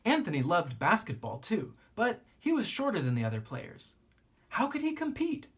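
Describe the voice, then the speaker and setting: Storybook narrator for young listeners: lively, swooping pitch, lightly husky tone, teacherly read-aloud delivery. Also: moderate pace. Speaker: teacher reading a picture book aloud.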